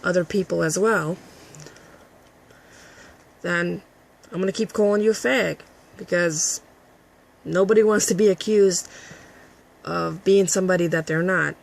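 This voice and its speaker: Raspy, husky woman ranting. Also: on the low side, unhurried, long pauses. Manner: combative, profane ranting. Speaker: vlogger. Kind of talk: addressing a critic to camera.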